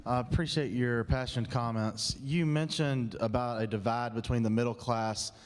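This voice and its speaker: A man speaking as a state senator, formally questioning a colleague in a polite, measured way. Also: mid-range voice, steady tone. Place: large indoor legislative chamber. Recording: echoing legislative chamber, long reverb.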